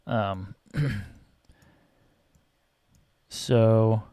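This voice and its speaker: A young man, a photographer, rambling casually about his work as he edits photos, hesitant with many fillers, often clearing his throat, his voice going a little raspy. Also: fairly low pitch, very slow, warm tone, dry recording, studio quality. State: voice getting raspy. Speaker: photographer and vlogger. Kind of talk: casual rambling commentary while photo editing. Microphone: desk mic.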